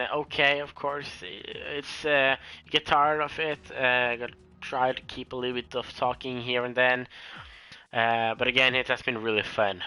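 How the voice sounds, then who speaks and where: Bright-voiced Norwegian man commentating a race. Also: mid-range voice, clean tone, low-quality recording. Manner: hesitant, many fillers and self-corrections. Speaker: sim racing commentator. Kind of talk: solo live race commentary.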